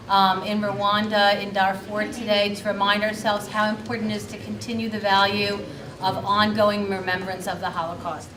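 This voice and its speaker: A woman hosts a ceremony, speaking in a plain, steady announcing voice. She is picked up from across a reverberant room, with noticeable background noise. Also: medium pitch. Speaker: event host. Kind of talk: ceremony hosting. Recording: echoey room, distant mic, noise.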